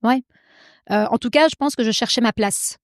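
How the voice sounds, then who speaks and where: Une femme belge à l'belge, autrice invitée, qui parle de façon hésitante avec beaucoup de « euh » et de « en fait ». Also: female voice, fairly high pitch, normal speed, no echo, clean studio sound. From Belgium. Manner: hesitant, many fillers and restarts. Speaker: guest author. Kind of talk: casual interview conversation.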